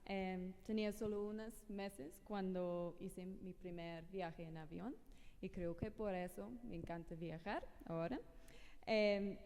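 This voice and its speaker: A woman from England speaking Spanish with an English accent, slow and careful, from prepared notes. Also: mid-range voice, fairly even intonation, a little mellow, in a large hall, a little hiss or hum. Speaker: program director. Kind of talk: short personal introduction on a panel.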